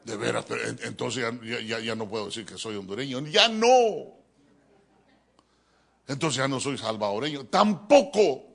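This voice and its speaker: A man preaching a sermon as a pastor, animated and joking, often addressing his listeners as brother. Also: mid-range voice, normal speed, vocal fry. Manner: animated, joking, keeps calling listeners "hermano". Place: church.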